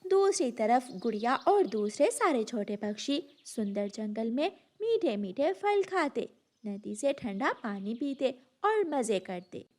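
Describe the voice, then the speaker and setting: A woman's voice: a little high, very animated, slow, a little mellow. A woman storyteller reading scripted narration for a children's cartoon moral tale.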